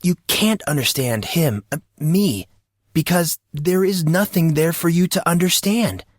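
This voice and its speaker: A man's voice: on the high side, animated, unhurried, no room sound, studio quality, pitched above the performer's own voice. A man voicing an intense, troubled teenage boy in an audiobook drama, earnest and self-deprecating, with impassioned, dramatic delivery. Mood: anguished confession.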